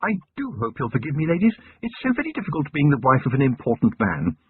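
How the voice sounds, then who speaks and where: Man doing a poised upper-class wife with lively, lilting speech, husky voice, thin phone-like sound. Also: on the high side, fairly quick, no echo, pitched above the performer's own voice. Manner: poised, gently bossy. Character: refined aristocratic wife, gently commanding woman.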